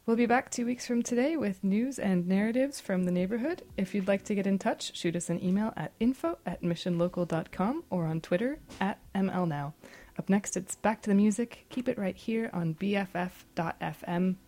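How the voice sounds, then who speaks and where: A woman's voice: mid-range voice, moderate pace, mellow. A woman hosting a radio interview in a conversational style. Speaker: radio show host.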